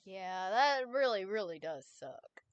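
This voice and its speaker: A woman's voice: mid-range voice, animated, takes it slowly, clear, resonant tone, no room sound, lo-fi sound. A woman reads a fan story aloud with a co-host and chats with her audience between passages, stumbling and restarting and swearing casually. Her voice sits in an ordinary middle range, heard close on a desk mic. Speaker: streamer co-reading fanfic. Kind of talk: casual live reading and chat.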